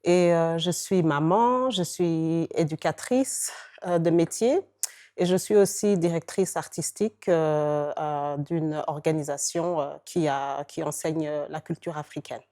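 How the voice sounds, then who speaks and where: A woman's voice: deep voice, a little slow, mellow. A woman educator introducing herself plainly, with some hesitation. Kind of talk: spoken self-introduction.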